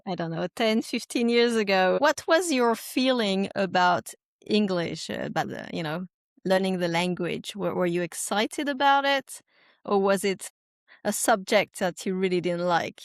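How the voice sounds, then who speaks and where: A woman's voice: mid-range voice, unhurried, no room sound, studio quality. A woman hosting a podcast interview, asking her guest questions and encouraging her answers. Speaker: podcast host.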